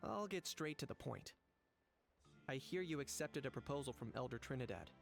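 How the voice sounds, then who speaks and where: A man's voice: medium pitch, expressive, moderate pace, vocal fry, faint music behind. A man voicing a cool, blunt young warrior in a video game, speaking in a direct, unruffled, matter-of-fact way. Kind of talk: video game character dialogue.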